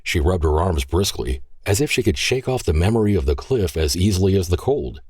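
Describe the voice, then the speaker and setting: Deep husky male narrator. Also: expressive, a little fast, darkish tone. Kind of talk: scripted audio drama narration.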